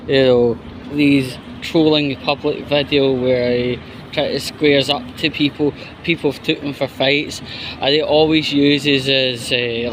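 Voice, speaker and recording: Guy doing casual opinion rambling, slow and halting, kinda disapproving, clear background noise like traffic or outdoors. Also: mid-range voice. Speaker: commentary YouTuber.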